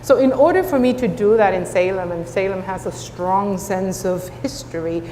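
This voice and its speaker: A woman's voice: medium pitch, normal speed, dark tone. A woman with a Spanish accent, a native Spanish speaker, speaking earnestly on a panel with frequent restarts. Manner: earnest, many filler restarts. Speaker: school superintendent. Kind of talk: panel discussion. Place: conference hall. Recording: echoey hall with faint noise.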